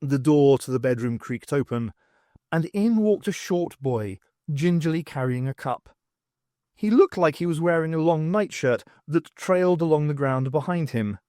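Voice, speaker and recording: A man reading a science fiction novel aloud as scripted, expressive audiobook narration. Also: fairly high pitch, moderate pace, no room sound, studio quality. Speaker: audiobook reader.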